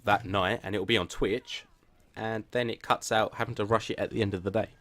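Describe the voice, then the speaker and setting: A man's voice: on the low side, a little fast, no room sound. Plain-voiced man rambling to viewers. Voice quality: flat, plain voice. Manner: rambling and hesitant, many restarts; self-deprecating. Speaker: gaming channel host. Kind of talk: casual channel update to viewers.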